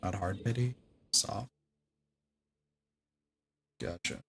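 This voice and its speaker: A man's voice: low-pitched, drawn-out words, creaky, sharp tone. A man giving casual livestream commentary on a game, muttering to himself and swearing mildly. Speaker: game streamer.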